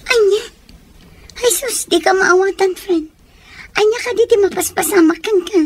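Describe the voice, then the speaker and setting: A Filipino actress playing a concerned, exasperated woman friend in a radio soap, expressive and full of questions, with a Filipino accent. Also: very high voice, normal speed, dry recording.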